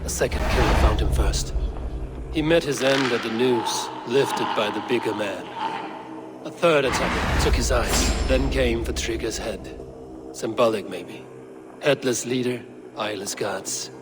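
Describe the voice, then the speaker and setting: A man voices a grim Viking raider for a video game. He is shrewd and terse, weighing things in measured phrases at a medium pitch. There is clear ambient noise behind him. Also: lightly acted. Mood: grim, analytical.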